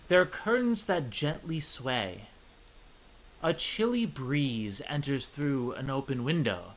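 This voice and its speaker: A man streaming a visual novel, reading its story aloud and reacting in a chatty, expressive way. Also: mid-range voice, slow pace, poor audio. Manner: chatty, expressive, swears casually. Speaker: let's play streamer.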